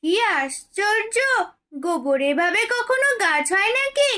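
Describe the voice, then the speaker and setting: Cartoon daughter role, woman performer: tiny, very high-pitched girl, sharp and bright, earnest and affectionate. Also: big pitch swings, a little slow, exaggerated voice. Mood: curious, excited. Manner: pleading, childlike, eager. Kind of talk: cartoon fairy tale dialogue.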